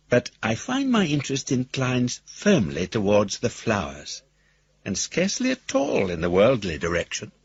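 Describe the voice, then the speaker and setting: A man's voice: medium pitch, husky, no room sound, highs cut off. An actor playing a philosophical, courtly businessman in love, reading his formal, wistful letter aloud in a radio drama.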